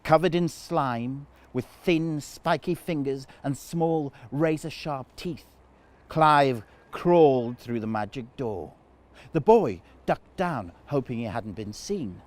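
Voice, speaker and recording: Guy doing kids storytime, super expressive n dramatic, takes his time, swoopy pitch. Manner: dramatic, deliberate phrasing. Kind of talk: expressive children's story narration.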